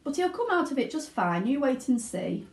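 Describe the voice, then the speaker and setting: A woman reading a children's story, voicing an excitable, eccentric man who owns a chocolate factory, emphatic and fussy. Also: high-pitched, moderate pace, pitched above the performer's own voice. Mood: soothing. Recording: roomy indoor echo.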